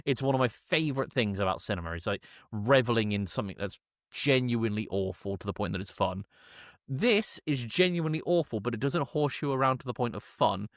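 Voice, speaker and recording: A man's voice: mid-range voice, animated, a little fast, no room sound, studio quality. A man hosting a casual film review chat, blunt and joking, who swears freely. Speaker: podcast host.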